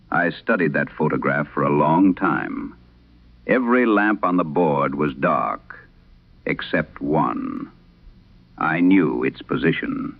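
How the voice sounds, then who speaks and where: Deep male horror host, solemn n measured, old radio filter, muffled n dry, no room sound. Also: vocal fry, no room sound. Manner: solemn, measured, literary delivery. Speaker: horror audio drama host reading letters. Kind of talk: vintage late-night radio horror narration. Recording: band-limited, dry vintage radio sound.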